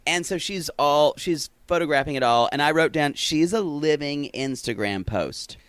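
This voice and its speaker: A man's voice: on the high side, expressive, moderate pace, a bit bright, dry recording. A man co-hosting a podcast, chatting casually and animatedly, laughing often and restarting his sentences. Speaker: podcast co-host. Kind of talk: casual recap chat.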